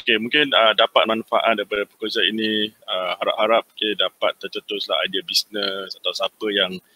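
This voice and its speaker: Man, host, bright sharp voice, low-ish pitch, hesitant, filler-heavy, friendly. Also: flat delivery, brisk, poor audio. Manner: friendly, hesitant, many fillers, laughs easily. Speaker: interview host. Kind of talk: casual hosted interview.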